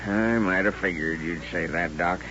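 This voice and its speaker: An actor playing a sly, folksy saloon keeper with an American Western drawl in an old radio western. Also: male voice, low voice, moderate pace, sharp tone. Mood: sly, wheedling. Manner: folksy, joking, wheedling. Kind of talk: 1950s radio western acting. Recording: dull, noisy vintage radio recording.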